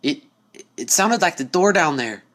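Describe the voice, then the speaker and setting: Guy doing a folksy farm pony girl from a story, pitched up, plain talkin. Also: lively intonation, moderate pace, a bit bright. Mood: shaken. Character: folksy, sturdy young female farm pony.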